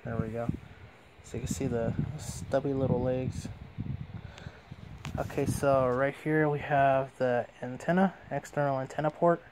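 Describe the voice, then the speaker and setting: A man's voice: medium pitch, fairly even intonation, a little slow, noisy background. A man giving a casual hands-on product demonstration as a reviewer.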